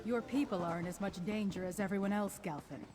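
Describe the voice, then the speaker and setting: A woman's voice: medium pitch, fast talker, over music, natural voice. An actress playing a frank, stern noblewoman in a fantasy video game, speaking formally. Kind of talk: scripted video game dialogue.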